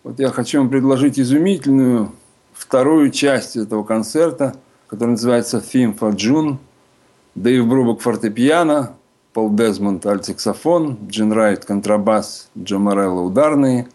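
Man, radio music host, middle pitch, unhurried, informative, studio microphone. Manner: unhurried, informative, listing musicians in a steady announcing tone. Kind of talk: relaxed radio chat introducing jazz records.

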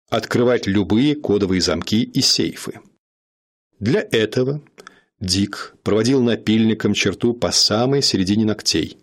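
A man narrating a radio drama in a measured, dramatic storytelling manner. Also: lowish voice, husky.

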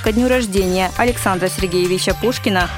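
A woman's voice: mid-range voice, fairly quick, over music. A woman reading a scripted news feature as a radio presenter.

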